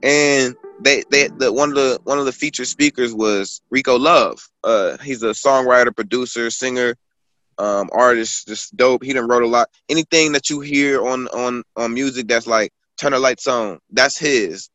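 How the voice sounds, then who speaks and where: A man's voice: medium pitch, moderate pace, fairly bright tone, no room sound. Rambling young man telling a story. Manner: rambling storytelling with many fillers. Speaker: guest author and poet. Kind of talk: casual conversation.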